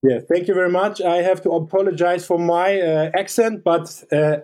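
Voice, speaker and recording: Higher-pitched hesitant host. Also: male voice, steady tone, normal speed, darkish tone. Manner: hesitant, repeats words while forming questions. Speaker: podcast host. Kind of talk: interview hosting.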